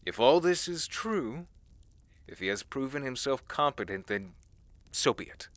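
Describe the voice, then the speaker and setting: A man's voice: medium pitch, sing-song, a little slow, a bit bright, no echo. A man playing a proud, distrustful fantasy ruler in an audio drama, speaking in a stern, blunt and challenging way. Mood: curt, grudging. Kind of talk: dramatic audio roleplay acting.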